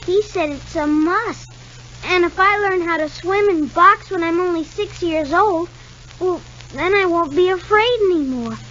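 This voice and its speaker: A muffled, noisy old radio soap recording carries a very high, childlike boy's voice. He speaks slowly and haltingly, anxious and polite, yet stubborn. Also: a bit bright. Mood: shy, wistful. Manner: hesitant, stammering, polite. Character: timid, anxious, stubborn six-year-old boy. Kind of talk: 1950 radio soap opera acting.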